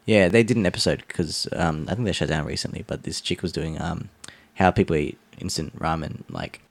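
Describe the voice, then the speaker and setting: A man's voice: deep voice, animated, brisk, vocal fry. A man co-hosting a podcast, rambling casually with many "like"s and restarts. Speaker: podcast co-host.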